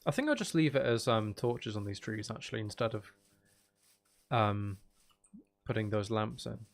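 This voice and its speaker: A man's voice: on the low side, animated, moderate pace. A man giving casual, unscripted gameplay commentary as a video host, thinking aloud and trailing off mid-sentence.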